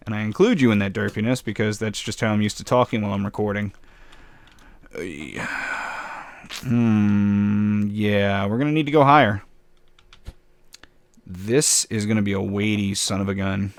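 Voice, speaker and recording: A man casually commenting while building in a game, with a slightly raspy voice, rambling and poking fun at himself. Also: lowish voice. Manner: rambling, self-mocking, chuckles often. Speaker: gameplay commentator.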